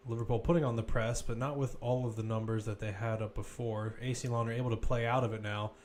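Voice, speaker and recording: A man's voice: mid-range voice, little pitch movement, fast, darkish tone. Man calling live football play-by-play. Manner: informal, conversational analysis between calls. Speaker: football commentator.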